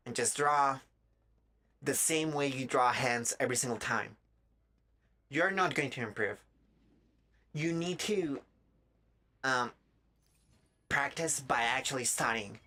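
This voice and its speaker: A streamer with a raspy, dry-throated voice, chatting casually in a halting way and often breaking off mid-thought. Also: mid-range voice, pushed and bright. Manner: halting, self-interrupting, trailing off mid-thought. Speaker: live streamer. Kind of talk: casual rambling chat while gaming, giving art advice.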